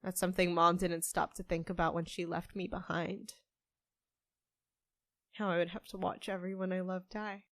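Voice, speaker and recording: A woman's voice: lowish voice, little pitch movement, normal speed, pauses often, fairly bright tone, no echo. A woman playing a stressed, stubborn barista in an audio drama, with a wry, defensive, casual way of talking. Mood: wistful. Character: stressed, stubborn half-human young-looking woman barista, grieving, wry.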